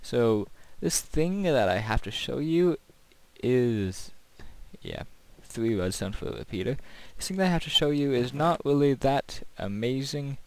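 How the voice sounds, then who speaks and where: A man's voice: mid-range voice, lively intonation, unhurried, a little mellow. A man giving casual gameplay commentary as a video host, rambling and thinking aloud.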